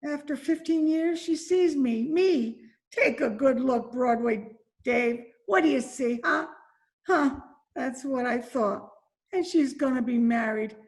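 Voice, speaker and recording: Slow, high-voiced faded dancer played by a woman. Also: a little mellow. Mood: bitter, anguished. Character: faded, down-on-her-luck Spanish-born former Broadway dancer, despairing mother. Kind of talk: amateur old-time radio drama acting. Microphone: video call recording.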